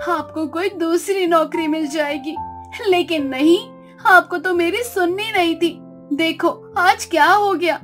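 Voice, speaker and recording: A woman voicing an anxious, devout young village wife in an animated devotional story, earnest and concerned. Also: extremely high pitch, pitched above the performer's own voice.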